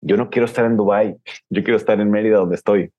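A man's voice: fairly low pitch, steady tone, quick pace, dry recording, dull audio. A Mexican man in his forties from Guadalajara, hosting a casual podcast conversation and explaining his points with personal examples. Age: 40s. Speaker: podcast host.

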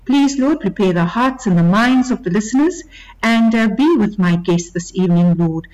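A woman hosting a faith show, praying earnestly and then gently interviewing her guest. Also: mid-range voice, lively intonation, low-quality recording. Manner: earnest, prayerful, unhurried. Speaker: radio show host.

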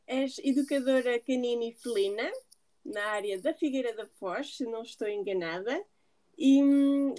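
Mellow-voiced woman podcast host. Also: fairly high pitch. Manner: chatty, many fillers. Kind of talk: podcast interview.

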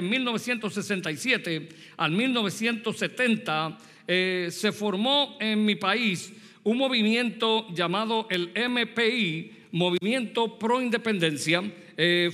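A man's voice: sits high, sharp tone. A Puerto Rican man in his sixties preaching a sermon, telling a story from his youth and asking the congregation direct questions. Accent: Puerto Rican Spanish. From Puerto Rico. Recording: long hall reverb.

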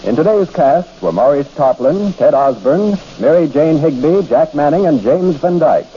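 A man's voice: a little high, lively intonation, moderate pace, warm tone, slight background noise. A man reading scripted announcements as a formal, dramatic 1940s radio network announcer. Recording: dull old radio broadcast.

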